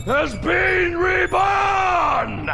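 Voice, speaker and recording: An actor playing a maniacal, vengeful undead sorcerer lord in a video game cutscene, with a theatrical, ranting manner. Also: male voice, extremely high pitch, lively intonation, drawn-out words, gravelly, bright, forceful tone, shouting, faint music behind, a faint crowd, full character voice. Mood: triumphant, ranting.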